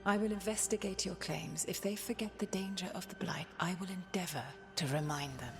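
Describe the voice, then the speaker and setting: A woman playing a wise, regal elven goddess in a video game, speaking in calm, measured, formal phrases. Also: fairly low pitch, lightly acted. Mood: calm, assured. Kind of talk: dramatic video game acting. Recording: music behind the voice.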